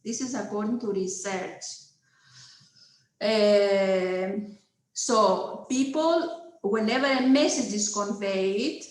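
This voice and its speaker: Slow, halting woman with a Greek accent. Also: medium pitch, reverberant, low-quality recording. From Greece. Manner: hesitant, many filler sounds, checks in with listeners. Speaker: lecturer. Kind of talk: online lecture. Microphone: video call.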